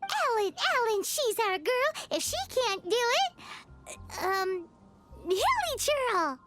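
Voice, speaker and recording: A woman voicing a tiny, excitable female fairy companion in a video game, talking in a squeaky, bouncy way. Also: slow pace, heavily acted. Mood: curious. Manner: squeaky, bouncy, refers to herself by name. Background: game music.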